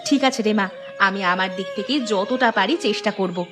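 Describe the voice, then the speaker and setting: A woman's voice: medium pitch, normal speed, music in the background, close to an everyday voice. A woman voicing a motherly, protective village aunt in a cartoon, speaking with affection and reassurance. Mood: tender, reassuring. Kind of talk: cartoon dialogue.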